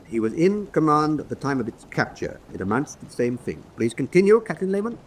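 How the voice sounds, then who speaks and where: Tribunal president, radio drama, stern, formal, deliberate, fairly high voice, faint background noise. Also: male voice, very animated. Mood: curt. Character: stern senior officer presiding over a military tribunal.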